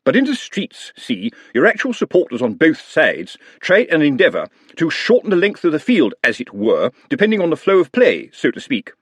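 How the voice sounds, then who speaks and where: A man's voice: on the high side, huge pitch swings, normal speed, hardly any pauses, breathy edge, no room sound, voice pushed up, heavily acted. A man voicing an awkward, deferential older groundsman who rambles in tangled phrases.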